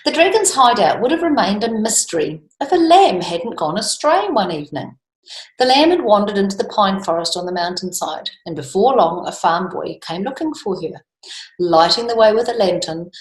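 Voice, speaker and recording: A woman reading a picture book to children, expressively, drawing out key words and adding chatty asides. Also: on the low side. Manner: emphatic, drawn-out key words, questions to young listeners. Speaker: children's storytime reader. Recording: echoey room.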